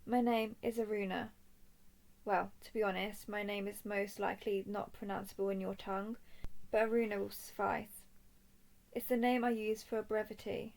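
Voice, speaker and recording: A woman playing a young royal dragon in a roleplay audio drama, speaking formally, softly and deliberately as a composed, curious captor. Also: medium pitch, flat delivery, gravelly, natural voice. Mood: formal, probing. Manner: formal, deliberate, soft-spoken.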